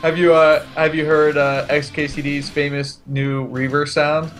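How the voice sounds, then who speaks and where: A man's voice: fairly high pitch, little pitch movement, measured pace, fairly bright tone, soft background music. A young man casting esports, cracking silly jokes and laughing through casual banter on a headset. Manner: playful, jokey, laughs often. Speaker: esports caster. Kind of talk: casual chat between casters.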